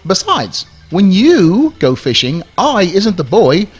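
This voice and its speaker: A man's voice: sits high, huge pitch swings, takes it slowly, husky, soft background music, full character voice. A man voicing a small, haughty angleworm in a live radio play. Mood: haughty refusal. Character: small, wriggly, haughty angleworm who declines invitations.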